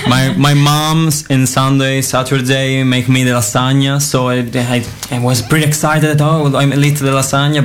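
Young Italian man, faint background noise. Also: medium pitch. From Italy. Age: young adult. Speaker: exchange student guest. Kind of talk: casual, rambling chat in imperfect English.

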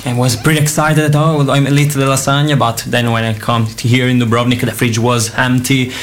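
A man's voice: medium pitch, little pitch movement, a little fast, slight background noise. Young Italian man, broken chatty English. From Italy. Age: young adult. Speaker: exchange student guest.

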